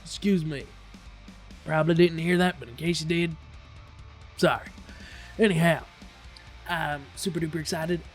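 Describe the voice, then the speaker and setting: A man's voice: on the high side, steady tone, normal speed, long pauses, faint music behind. A man hosting a reaction video, talking in a casual, rambling way with an irreverent, profane, jokey manner.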